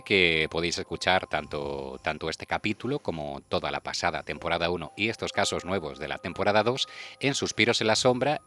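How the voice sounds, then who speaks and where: A man's voice: low voice, bright, forceful tone. A man with an accent from Spain, hosting a casual radio chat show. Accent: Spanish (Spain).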